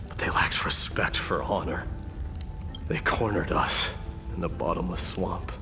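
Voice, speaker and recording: A proud, grieving warrior prince of a fantasy game. His voice is deep and deliberate, trailing a long reverb with music behind it. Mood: mournful. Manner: grave, deliberate. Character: brooding exiled warrior prince, proud and mournful. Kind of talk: solemn game monologue.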